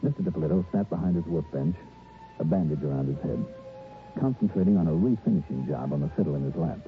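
Matter-of-fact American insurance investigator, deep pitch, clipped dry delivery, hard-boiled radio drama, muffled vintage recording. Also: male voice, fast talker, faint music behind. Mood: cool, reporting. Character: cool, matter-of-fact male insurance investigator narrating his case. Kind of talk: old-time radio detective drama, narration and dialogue.

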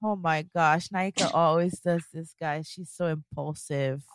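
A woman's voice: deep voice, fairly even intonation, unhurried, no room sound. A woman co-hosting a casual podcast, chatty and rambling, restarting her sentences often. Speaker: podcast co-host. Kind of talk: casual chat.

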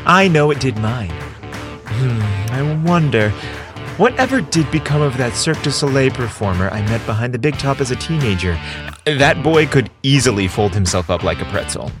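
A man's voice: mid-range voice, very animated, normal speed, over music. A man narrating a scripted romantic story as a storyteller.

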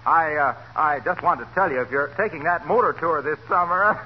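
Man playing a genial pitchman with swooping pitch. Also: animated, brisk, close to an everyday voice. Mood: amused. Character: genial visiting pitchman trying not to laugh. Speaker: announcer with sponsor plug. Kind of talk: 1940s radio comedy acting. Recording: muffled, noisy 1940s radio recording.

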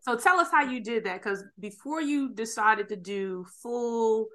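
A woman's voice: on the high side, a little slow, a bit bright. A woman hosting a casual interview, enthusiastic and encouraging, with slangy turns of phrase. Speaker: podcast host.

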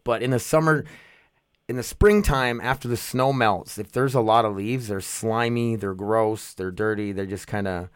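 A man's voice: fairly low pitch, moderate pace, smooth, no echo, clean studio sound. A young man, rambling casually to his viewers, often restarting and laughing at himself. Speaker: vlogger.

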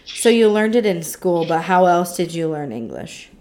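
Low-voiced young American woman chatting. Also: big pitch swings, moderate pace, darkish tone, slight background noise. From United States. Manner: chatty, talkative, with many fillers. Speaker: podcast co-host. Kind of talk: casual chat.

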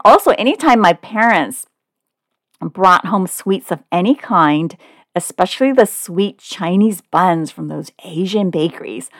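A woman's voice: fairly low pitch, dry recording, clean studio sound. A woman in her forties, a podcast host from California, talking in an upbeat, chatty, personal way. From Oakland, California. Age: 40s. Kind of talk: scripted podcast monologue.

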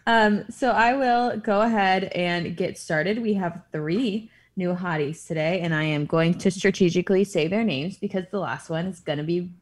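Giggly woman podcast co-host. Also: on the low side. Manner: giggly, self-deprecating, halting over names. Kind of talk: casual chat.

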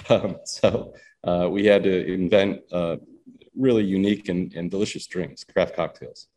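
A man who co-owns a distillery, speaking haltingly with many ums in a casual interview. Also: deep voice, steady tone, mellow. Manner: halting, full of ums and uhs. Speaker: distillery co-owner. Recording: faint background noise.

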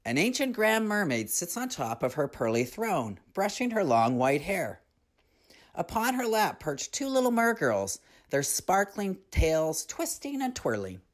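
A middle-aged performer with a low feminine-sounding voice reading a children's tale expressively, with theatrical, drawn-out emphasis. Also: big pitch swings. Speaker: story reader, comedian.

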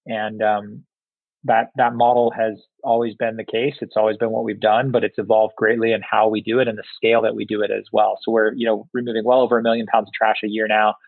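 A company founder from Singapore speaks in a low, fairly monotone voice. He talks haltingly, restarting words and filling pauses. The sound is thin and phone-like, with no room echo. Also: vocal fry. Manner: halting, with many repeated words and fillers. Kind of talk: conversational interview answers. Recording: tinny phone-like line.

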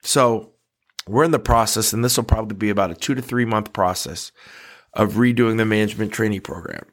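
A man's voice: fairly low pitch, brisk. Nasal-sounding male podcast host. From United States. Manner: conversational, frequent fillers and restarts. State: nasal from a cold. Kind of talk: casual podcast talk.

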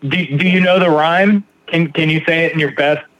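Brief: male podcast host, slightly high voice, halting, stumbles and repeats words. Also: fairly even intonation, highs cut off, crowd noise. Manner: halting, repeats words, many ums and likes. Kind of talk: casual interview.

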